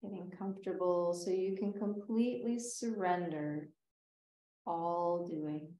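A woman guides a yoga nidra meditation in a mellow, slightly low voice. She speaks gently and very slowly, leaving long pauses between phrases. The recording has a noticeably roomy echo.

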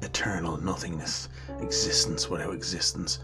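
A man's voice: sits low, fairly even intonation, moderate pace, loud music behind the voice, natural voice. A man playing a grave, theatrical devil in a radio drama, prone to dramatic speeches of doom. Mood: grave, ominous. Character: male devil, dramatic doom-preaching lover of an angel.

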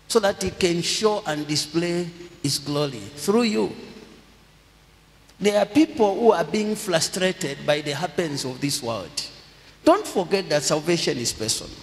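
A middle-aged man from Zambia, preaching a sermon slowly and deliberately into a handheld microphone, leading the congregation in prompts. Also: sits high, lively intonation. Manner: slow, deliberate preaching with call-and-response prompts. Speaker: pastor. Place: large church hall with congregation. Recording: long hall reverb.

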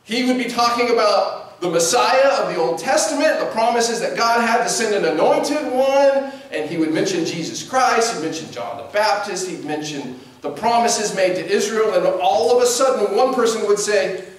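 A man in his forties preaches from the pulpit in a relaxed, conversational way, gesturing as he talks. His voice sits fairly high for a man. It is picked up from across a church sanctuary, heavy with echo. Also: normal speed, hardly any pauses. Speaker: pastor. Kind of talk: sermon. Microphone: distant camera mic. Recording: very echoey church from a distance.

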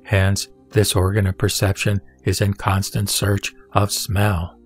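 A man giving a solemn, measured recitation of scripture in the manner of a scripted narration. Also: lowish voice, monotone. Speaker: sutra reciter. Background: background music.

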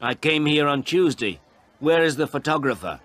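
A man's voice: a little high, expressive, moderate pace, a bit bright, pitched above the performer's own voice. A man voicing a stubborn, indignant farmer in his seventies, insistent and demanding, in a storybook reading. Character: stubborn, indignant seventy-two-year-old male village farmer.

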